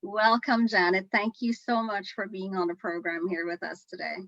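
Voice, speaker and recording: Female host, middle-aged, bright forceful voice, dry but dull video-call sound. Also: medium pitch, fairly even intonation, moderate pace, dry recording. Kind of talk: hosting a livestream interview.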